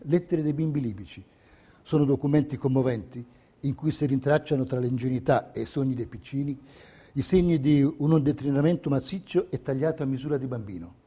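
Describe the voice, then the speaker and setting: A man narrating a scripted documentary theatre piece in a measured, declamatory manner. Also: mid-range voice, mellow, breathy edge. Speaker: stage narrator.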